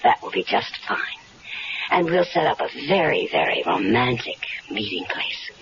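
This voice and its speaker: A woman's voice: very deep voice, big pitch swings, moderate pace, music in the background, heavily acted. An actress playing a scheming wife who coolly plots her husband's murder in a 1970s radio drama, with a coaxing, confident, sweetly calculating manner. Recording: dull old radio broadcast with faint hiss.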